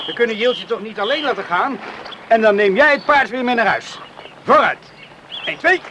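An actor in a Dutch radio play as a blunt, commanding countryman, quick-tempered but tender with his sweetheart. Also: very high-pitched, animated, bright, forceful tone, slight background noise, exaggerated voice. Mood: brisk, commanding.

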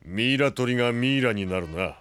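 An actor playing a stern, proud comrade in an audio drama, grave and reproving. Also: male voice, mid-range voice, expressive, brisk, a bit bright, clean tone, no room sound, close to an everyday voice. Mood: stern, indignant. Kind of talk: Japanese anime-style audio drama acting.

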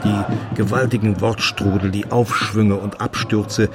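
Low-pitched male radio feature narrator. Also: fairly even intonation, unhurried, over loud music. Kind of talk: scripted literary narration.

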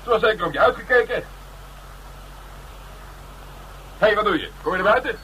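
A tough, taunting police detective in a radio crime drama. His voice is high for a man and forceful, with blunt, streetwise phrasing and sardonic bite. The old recording carries some noise. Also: expressive, racing, poor audio. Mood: needling.

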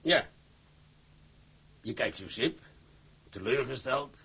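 An actor playing a shrewd, measured and paternal boss of a shipping company, with a gravelly, husky voice. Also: male voice, mid-range voice, expressive, bright, forceful tone, heavily acted. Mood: probing, fatherly. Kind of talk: drama dialogue.

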